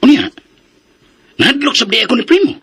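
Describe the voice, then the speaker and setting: A man with a Filipino Cebuano accent acting a boastful, scheming land buyer in a radio drama, raspy and smug, mixing in English phrases. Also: very high-pitched, huge pitch swings, a bit bright, exaggerated voice. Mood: taunting. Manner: smug, taunting, drawn-out delivery with English phrases.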